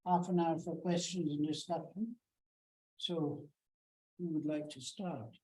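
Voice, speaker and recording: A woman chairing a conference session, briskly inviting questions. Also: deep voice, fairly even intonation, a little mellow, low-quality recording. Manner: brisk, courteous. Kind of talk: chairing a discussion. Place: conference hall.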